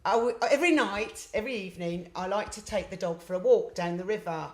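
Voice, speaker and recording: A woman's voice: mid-range voice, a little fast, echoey room. A middle-aged woman who presents a snake show, answering interview questions in a chatty, upbeat, self-deprecating way.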